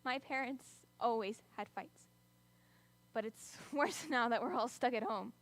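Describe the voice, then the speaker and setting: A woman's voice: fairly high pitch, measured pace. A young woman performing a dramatic monologue as a teenager stuck at home with fighting parents, speaking in a wry, bitter way that alternates between brooding and sudden outbursts. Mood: gloomy.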